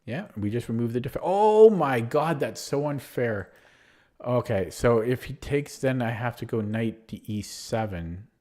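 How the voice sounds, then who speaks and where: A man's voice: medium pitch, lively intonation, measured pace, dark tone. A man streaming online chess, thinking aloud through his moves with a hesitant, self-deprecating manner and frequent fillers. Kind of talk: live game commentary.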